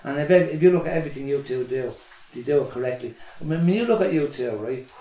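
A man's voice: mid-range voice, lively intonation, fast, warm tone. A middle-aged man, a musician guest, telling stories in a rambling, casual way that is full of swearing and "do you know what I mean". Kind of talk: casual podcast storytelling. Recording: echoey room, voice a bit far from mic.

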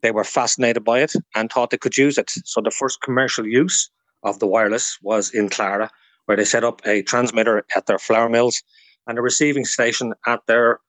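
A man's voice: medium pitch, fairly even intonation, moderate pace, a bit bright, no room sound. An Irish man speaking as an expert guest, telling history informally in an interview. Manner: informative, storytelling. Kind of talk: informal explanatory interview talk.

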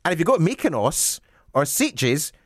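A Scottish man whose pitch swings up and down as he banters with his co-host. The sound is dry and close-miked. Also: big pitch swings, moderate pace, dry recording. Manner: laughs often, warm banter. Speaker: radio co-host. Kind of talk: casual chat.

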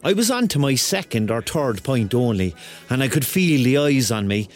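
An actor playing a rambling, excitable thirty-year-old man with a Cork accent, telling his story colloquially in a radio drama. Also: medium pitch, moderate pace, close to an everyday voice. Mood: wry, confiding. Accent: Cork Irish. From Cork, Ireland. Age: 30s. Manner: colloquial, digressive, conversational. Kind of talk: first-person radio drama narration and dialogue.

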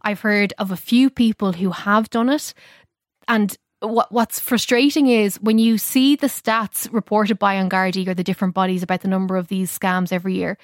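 A woman's voice: mid-range voice, fairly even intonation, normal speed, no room sound, studio quality. A woman with an Irish accent speaking as a technology correspondent, explaining things in an informal, conversational radio style.

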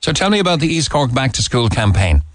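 A man's voice: medium pitch, big pitch swings, fast, dry recording, clean studio sound. A man with an Irish accent hosting a radio phone-in show, asking probing, leading questions and reading out listener texts. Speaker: radio talk show host. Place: radio studio.

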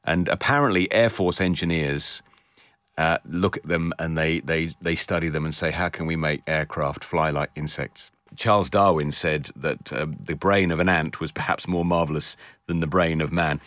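Middle-aged male presenter, low voice, measured phrasing, informal book review, very dry sound. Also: fairly bright tone, dry recording. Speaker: radio presenter. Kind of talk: casual book recommendation.

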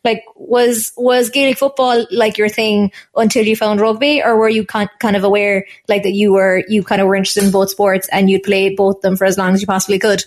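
A woman's voice: medium pitch, flat delivery, brisk, hardly any pauses. A woman with an Irish accent hosting a casual podcast chat, asking informal, meandering questions. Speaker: podcast host interviewing.

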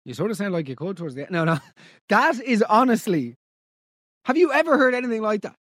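An Irish man hosting a comedy podcast, reacting to listener stories in an animated, exaggerated, mock-outraged way. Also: sits high, a little fast, no echo, clean studio sound. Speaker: podcast host.

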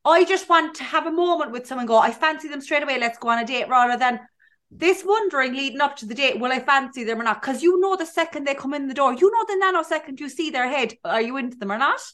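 Chatty high-voiced Cork woman. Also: quick pace. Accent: Cork Irish. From Ireland. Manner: animated storyteller, acts out dialogue. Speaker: podcast co-host. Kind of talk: casual chat.

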